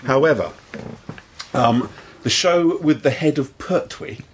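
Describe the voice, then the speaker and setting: A man's voice: on the high side, slow pace, slight background noise. A man speaking casually and hesitantly as an interviewer requesting a recorded clip. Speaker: interviewer gathering promo clips.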